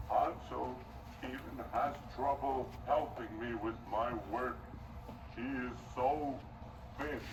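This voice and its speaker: Man playing a slow, meek, worried father. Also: medium pitch. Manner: hesitant, halting, pleading. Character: meek, worried woodcutter husband and caring father. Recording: echoey, distant, noisy background.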